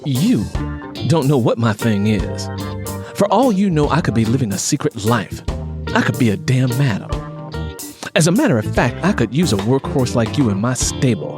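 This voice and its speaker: Feisty, defiant young woman, lively narrator acting. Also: medium pitch, normal speed, music in the background, lightly acted. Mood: defiant, fiery. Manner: snippy, defiant, bold. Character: feisty, persistent, sharp-tongued young woman. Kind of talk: audiobook character dialogue.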